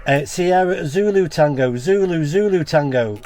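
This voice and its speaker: A man's voice: a little high, animated, normal speed, darkish tone. A British man in a chatty, casual style, talking as an amateur radio operator and vlogger who spells things out in the phonetic alphabet. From United Kingdom. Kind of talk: casual vlog talk and radio contact exchanges. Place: portable radio outing.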